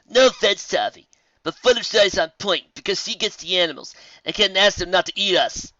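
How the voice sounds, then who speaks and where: A reader voicing a brash, boastful young mare DJ who talks loudly in slang. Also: mid-range voice, a little fast, no echo, dull audio, voice pushed up, natural voice. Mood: cocky.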